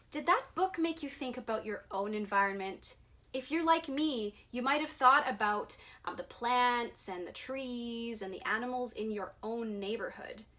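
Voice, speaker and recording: A woman leads a children's storytime with an expressive, emphatic delivery. Her voice is fairly high and very bright, and she tends toward an unhurried pace, addressing young listeners directly. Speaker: performer reading a children's story.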